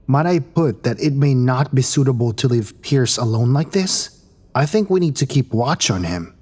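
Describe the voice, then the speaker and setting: Cautious, tender crewmate for sci-fi audio drama. Medium-pitched voice, earnest and measured. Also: male voice, animated, a little mellow, breathy edge. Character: protective, cautious male crew member, tender.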